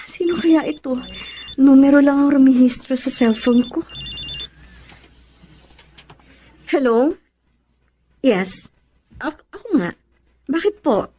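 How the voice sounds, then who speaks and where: A woman's voice: high-pitched, unhurried, pauses often, mellow. An actress with a Filipino accent playing a heartbroken, sincere young woman in a radio drama. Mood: anxious.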